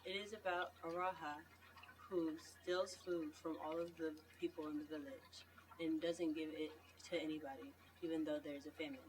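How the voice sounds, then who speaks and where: A woman's voice: low voice, steady tone, moderate pace. A woman reading a storybook aloud, picked up by a distant mic with noise behind her. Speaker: reader at a read-aloud event. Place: school library. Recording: distant mic, noisy room.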